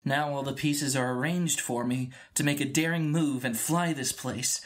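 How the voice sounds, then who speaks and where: A man's voice: a little high, lightly acted. A man playing a small, secretly bold droid, speaking grandly in an amateur Shakespearean soliloquy. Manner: spoken beeps in character, grand in soliloquy. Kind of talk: amateur Shakespearean dramatic reading.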